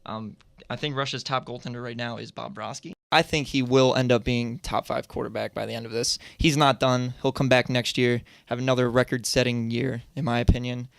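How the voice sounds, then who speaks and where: A man's voice: mid-range voice, flat delivery, fairly quick, gravelly. A man giving firm opinions and predictions as a panelist on a roundtable sports radio show, recorded in a studio.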